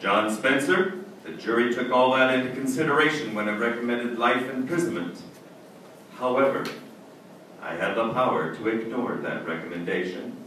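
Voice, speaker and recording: An actor playing a stern, cold judge in old-time radio drama style, with formal, declamatory delivery. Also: male voice, mid-range voice, normal speed, sharp tone, natural voice. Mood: stern, commanding. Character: stern, haunted male judge, cold and unbending. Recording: very echoey, distant, noisy stage recording.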